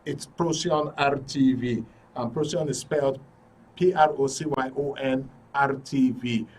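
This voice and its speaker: A man with a Nigerian accent and a husky voice, hosting a live call-in show. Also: medium pitch, a little mellow, recorded from a distance. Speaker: radio and TV show host.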